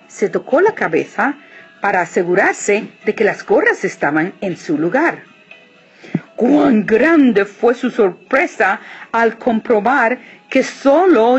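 A woman reads a children's story with dramatic, deliberate phrasing. Her melody swings widely and she takes her time, sounding a bit husky. The recording is slightly muffled with faint music behind. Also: mid-range voice, sing-song. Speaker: storybook reader. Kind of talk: expressive children's story narration.

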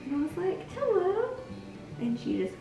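Young mom, high gravelly voice, soft and matter-of-fact, distant echoey room, music underneath. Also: female voice, wildly swooping pitch, normal speed. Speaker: mother. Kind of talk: casual family chat. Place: living room at home. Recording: roomy, from off-mic, music behind.

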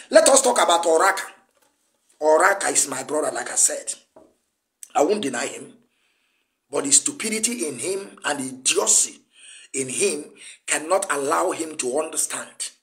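A man's voice: fairly high pitch, a bit bright. A Nigerian man in his forties whose first language is Igbo, giving an emphatic, lecturing political address to camera from a small room. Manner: emphatic, lecturing, gesturing a lot. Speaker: activist commentator.

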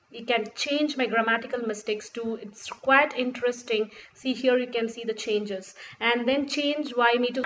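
A woman's voice: a little high, fairly bright tone. Woman tutor, Urdu mother tongue, explains patiently with restarts; dull lo-fi mic, faint hiss. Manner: explains step by step, frequent fillers and restarts. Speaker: tutorial presenter. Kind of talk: screen-recorded software tutorial. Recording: dull lo-fi sound with faint noise.

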